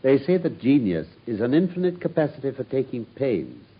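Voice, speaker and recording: A man's voice: mid-range voice, big pitch swings, fairly quick, slightly rough. A man playing a brilliant, cool-headed detective who speaks with precise, ironic politeness in a radio drama. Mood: dryly polite. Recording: dull lo-fi radio broadcast.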